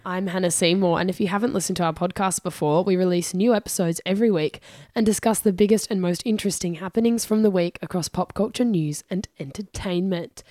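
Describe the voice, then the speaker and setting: A young woman co-hosting a casual pop culture podcast, joking and relaxed, with a voice worn from losing it. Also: on the low side, fairly quick, hardly any pauses, no room sound, studio quality. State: losing her voice. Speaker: podcast co-host. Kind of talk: casual chat. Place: home studio at night.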